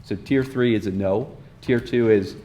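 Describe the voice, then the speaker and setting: A man's voice: fairly low pitch, measured pace, warm tone. A man on a town board explaining things informally in a plain-spoken way at a meeting. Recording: faint background noise in meeting room.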